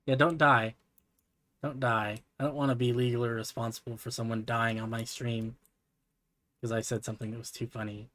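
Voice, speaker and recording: A man streaming from his computer, chatting casually in a soft, relaxing voice, rambling with lots of fillers and self-deprecating asides. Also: mid-range voice, steady tone, normal speed, long pauses. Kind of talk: casual livestream chat while building.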